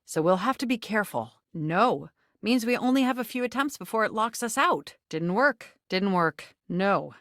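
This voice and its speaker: A woman voicing a sentient home computer in a video game, nerdy, formal and excitable, with stilted, wordy technical phrasing. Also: medium pitch, normal speed, no echo, clean studio sound. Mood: focused. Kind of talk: scripted video game character voice acting.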